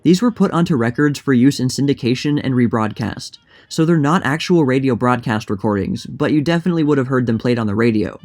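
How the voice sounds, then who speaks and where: A man's voice: medium pitch, animated, fairly quick, hardly any pauses, a little mellow. A man narrating a scripted history documentary in a plain, informative manner. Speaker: narrator and creator of a history channel.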